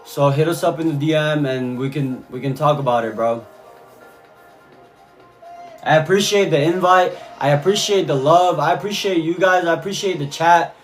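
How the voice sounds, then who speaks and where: A man's voice: on the high side, normal speed, soft background music. A man from Cuba talking casually on a live stream, reading chat messages and answering viewers, often repeating his phrases. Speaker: livestreamer.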